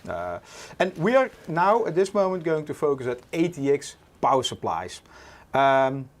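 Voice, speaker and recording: Dutch-accented man, slightly high voice. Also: moderate pace. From Netherlands. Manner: relaxed, many "uh" fillers, reads chat aloud. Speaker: livestream host. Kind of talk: casual livestream chat.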